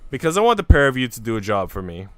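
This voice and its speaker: A man's voice: medium pitch, very animated, very fast, clear, resonant tone, no room sound, soft background music, natural voice. A man voicing a cruel, haughty young noble lady in a visual novel, imperious and taunting. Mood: threatening.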